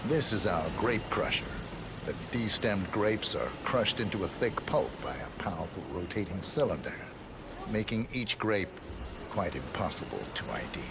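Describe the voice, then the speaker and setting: An actor playing a composed tour guide in a video game, with a measured, formal, deadpan delivery and sinister dry humour. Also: male voice, low-pitched, noisy background. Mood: dryly ominous. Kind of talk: scripted video game dialogue. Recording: loud machinery and ambience behind.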